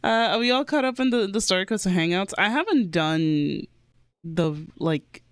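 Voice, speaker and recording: A woman streamer giving casual, excitable and giggly reaction commentary on a game. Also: medium pitch, moderate pace, clean tone, dry recording, clean studio sound.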